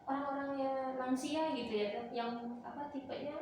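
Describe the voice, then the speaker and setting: A young woman from Malaysia speaks as an interview guest in a high-pitched voice, explaining things informally. She sounds distant from the microphone in a very reverberant room. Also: fairly even intonation, moderate pace, a little mellow. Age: young adult. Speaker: dental student guest giving advice. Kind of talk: casual interview, explaining dental health. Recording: echoey room, distant mic, clear background noise.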